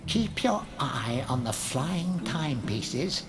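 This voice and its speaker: Slow, low-voiced fantasy guide. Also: female voice, very animated, music in the background, heavily acted. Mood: portentous. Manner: theatrical, measured. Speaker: narrator and guide. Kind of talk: scripted fantasy game narration.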